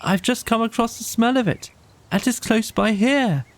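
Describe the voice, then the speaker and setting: A man's voice: extremely high pitch, moderate pace, no room sound, pitched above the performer's own voice. A man voicing a small, homesick mole in a storybook audio play, anxious and pleading, with urgent stammers. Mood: anguished pleading.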